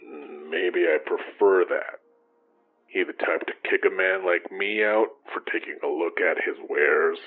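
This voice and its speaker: A man's voice: low-pitched, unhurried, narrow, tinny audio. An actor playing an apologetic, folksy old man who rambles in an audio drama. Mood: curious, mild. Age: older. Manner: folksy, meandering, self-deprecating.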